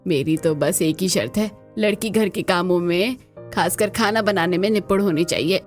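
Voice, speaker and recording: A woman's voice: lowish voice, moderate pace, soft background music, lightly acted. A woman voicing a demanding, proud middle-aged mother-in-law in a family cartoon, firm and emphatic.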